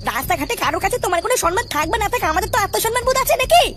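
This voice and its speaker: A woman's voice: extremely high pitch, animated, rapid-fire, loud music behind the voice. A woman voicing a bitter, nagging old Bengali grandmother in a comedy cartoon, scolding and sarcastic. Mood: furious, bitter.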